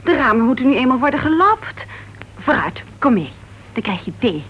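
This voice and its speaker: A fairly high, very animated female voice on a muffled radio play recording. a long-suffering wife who soothes, apologises and gently chides. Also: normal speed. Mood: gently reproachful. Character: patient, placating wife of a touchy writer. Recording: dull, noisy old radio play recording.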